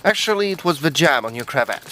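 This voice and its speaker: Dry, lively Edwardian gentleman, played by a man. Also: fairly high pitch, fast talker, slight background noise. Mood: teasing. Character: dry, teasing Edwardian estate agent, sceptical business partner. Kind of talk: tongue-in-cheek period audio drama dialogue.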